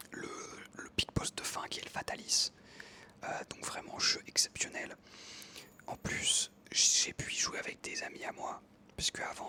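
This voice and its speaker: Whispering young ASMR gamer, bright and crackly. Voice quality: whispered, breathy. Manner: informal, enthusiastic gamer talk. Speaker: ASMR creator narrating own gameplay. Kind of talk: ASMR whispered casual storytelling over gameplay.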